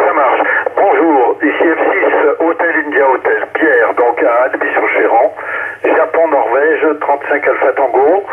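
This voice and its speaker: A man giving a formal greeting speech as an amateur radio operator on a relay. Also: fairly high pitch. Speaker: amateur radio operator, club honorary president. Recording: narrowband, phone-like ham radio relay.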